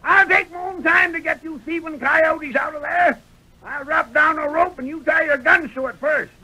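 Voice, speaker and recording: An actor playing a gruff, feisty old prospector with a folksy American drawl in an old radio western. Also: male voice, normal speed, pushed and bright, full character voice. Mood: shouting threats. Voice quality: creaky, cracked high voice. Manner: folksy frontier drawl, blustering. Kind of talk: 1930s-40s radio western acting. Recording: vintage radio recording.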